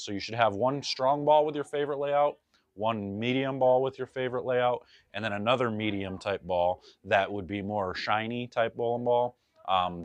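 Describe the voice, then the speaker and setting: A man's voice: deep voice, no echo. A man, talking casually to camera from a bowling pro shop and giving loose, chatty advice with jokey asides. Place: bowling pro shop in front of a ball wall.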